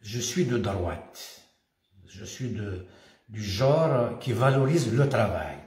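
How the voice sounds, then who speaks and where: Older Kabyle Algerian man, slow and halting. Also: on the low side, animated, darkish tone. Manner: halting, hesitant, pausing often. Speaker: former community association leader. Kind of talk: casual personal monologue to camera. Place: indoors at home, room with stone wall and staircase. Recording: echoey room.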